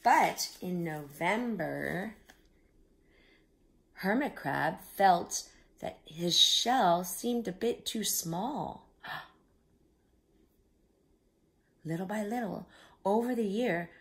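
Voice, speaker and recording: A woman reading a picture book aloud to young children, expressive, with simple explanatory asides. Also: medium pitch, very slow, gravelly, pauses often. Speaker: children's storyteller.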